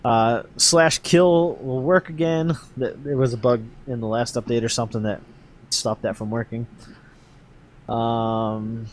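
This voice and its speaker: A man hosting a podcast, casually explaining game update news in a halting, hedging way. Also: medium pitch, fairly even intonation, a little hiss or hum.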